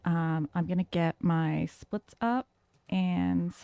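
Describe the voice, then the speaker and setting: A woman livestreaming a game, talking calmly and softly to her chat with lots of fillers. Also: fairly low pitch, slow pace, vocal fry, clear, resonant tone, no room sound, highs cut off. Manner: soft, calm, many fillers. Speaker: streamer.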